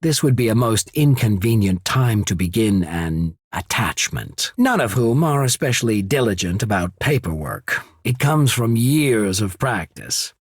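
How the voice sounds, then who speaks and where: An actor voicing a courtly, shrewd diplomat of a trading company in a video game, speaking in a polite, measured, formal way. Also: male voice, fairly low pitch, big pitch swings, husky, no echo, studio quality, full character voice. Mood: polite, wry. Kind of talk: video game character acting.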